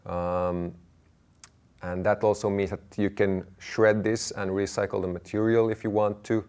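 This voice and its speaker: Low-voiced man explaining gear. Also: little pitch movement, measured pace, darkish tone, smooth. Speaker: DIY audio channel presenter. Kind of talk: product walkthrough to camera.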